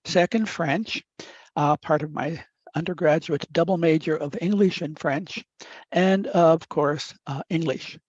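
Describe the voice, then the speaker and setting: A man in his eighties from the upper Midwest of the United States, whose first language is Italian, telling a story from his life in a measured, deliberate way with frequent "uh" fillers. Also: a little high, a little mellow, no echo. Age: 80s. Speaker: guest speaker. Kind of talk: reflective storytelling talk. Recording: dull dry lo-fi recording.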